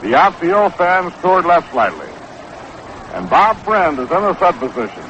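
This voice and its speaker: 1960s baseball radio announcer, noisy old recording. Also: male voice, on the high side, very animated, a bit bright. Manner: measured, descriptive calls that quicken on action. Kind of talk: 1960s live radio sports commentary. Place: outdoor ballpark broadcast position. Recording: noisy, dull vintage 1960s radio broadcast.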